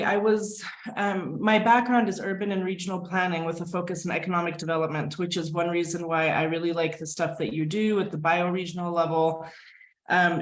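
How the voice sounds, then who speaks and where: Woman with a low voice on an echoey call. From United States. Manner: relaxed, thoughtful, with frequent fillers. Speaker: guest, permaculture designer. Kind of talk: conversational video-call interview. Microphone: video call. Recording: echoey room on a video call.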